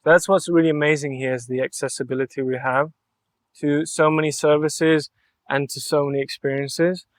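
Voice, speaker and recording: A man's voice: on the high side, steady tone, normal speed. A young man from Mallorca, an artist being interviewed on a podcast, talking in an earnest, reflective way and thinking aloud in long flowing sentences. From Mallorca, Spain. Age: young adult. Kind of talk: relaxed interview conversation.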